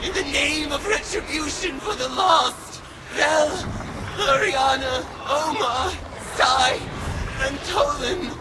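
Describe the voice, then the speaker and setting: A vengeful witch turned dark god from a video game. She intones her words slowly and grandly, like an incantation, with solemn menace. Loud music swells underneath. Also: high voice, over a noisy crowd, rough recording. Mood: vengeful, commanding. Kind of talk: theatrical game voice acting.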